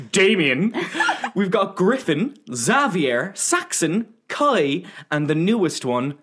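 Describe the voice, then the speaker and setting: Playful Irish man with a lively voice. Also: high voice, drawn-out words, husky. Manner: jokey, playful, laughs often, swears casually. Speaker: podcast co-host. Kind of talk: casual comedic chat.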